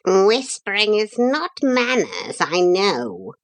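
A proud talking parrot out of a storybook, acted by a woman. The bird speaks slowly and emphatically, with a lively, swinging pitch. It sounds knowing, prim and short on patience. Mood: indignant, impatient. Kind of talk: storybook character voice.

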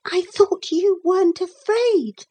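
A woman's voice: extremely high pitch, slow, dark tone, voice pushed up. A woman voicing an eager, outspoken young girl in a storybook, with a pointed manner. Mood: needling.

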